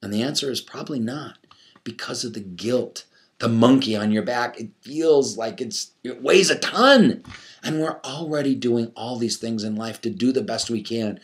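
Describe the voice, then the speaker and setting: A man's voice: medium pitch, normal speed. A man giving a conversational motivational coaching talk to an audience, repeating phrases emphatically, asking rhetorical questions and acting out his inner self-talk.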